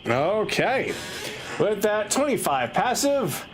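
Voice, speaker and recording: Male game master, expressive range, casual tabletop narration, light background noise. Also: high-pitched, slow pace.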